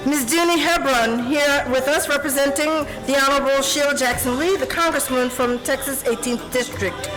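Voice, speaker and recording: A woman's voice: high voice, normal speed, few pauses, over music, poor audio. A woman acting as master of ceremonies at a formal event, reading out guests' names and titles in a formal manner. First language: Portuguese. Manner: formal, reads introductions and titles.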